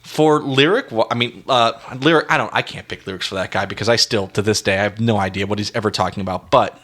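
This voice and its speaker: A man's voice: on the low side, fast talker, fairly bright tone. A man co-hosting a casual music discussion, talking in a hesitant, self-deprecating, indecisive way. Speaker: music podcast co-host.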